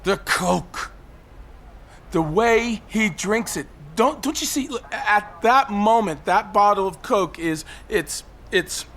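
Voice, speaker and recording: An actor playing a brooding, desperate man on a ledge in an audio drama, telling his story in an intense, halting, obsessive way. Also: sits high, pushed and bright, heavily acted. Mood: desperate, agitated. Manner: intense, halting, obsessive monologue with repeated phrases.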